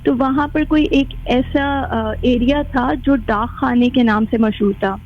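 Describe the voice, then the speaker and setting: A woman's voice: a little high, steady tone, unhurried, soft background music. A woman calling a radio show by phone, telling a story casually and mixing in English words. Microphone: phone call. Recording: dull lo-fi phone-in line.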